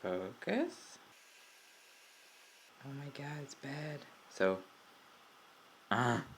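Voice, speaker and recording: A man's voice: mid-range voice, big pitch swings, drawn-out words, fairly bright tone. A young man with a Czech accent, his first language, talking through a computer install in a casual, rambling way and often correcting himself. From Czech Republic. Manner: rambling, self-correcting, mutters asides to the camera. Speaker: tech hobbyist demonstrating an install. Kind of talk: casual tutorial commentary. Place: indoor room at a desk by a window. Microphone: old smartphone camera mic. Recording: lo-fi old phone recording, faint room noise.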